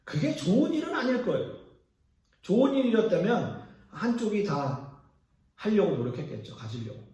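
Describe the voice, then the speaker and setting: A man's voice: high voice, big pitch swings, moderate pace, a little mellow. A male pastor preaching a sermon, explaining scripture and putting questions to the congregation. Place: church sanctuary. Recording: echoey church hall from far off.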